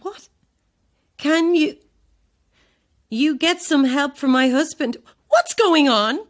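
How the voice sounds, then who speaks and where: A woman playing a defiant, alarmed actress in a horror audio drama, speaking in an agitated, halting, snapping way. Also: high voice, lively intonation. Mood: alarmed, confused. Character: defiant, alarmed actress trapped at a sinister castle show.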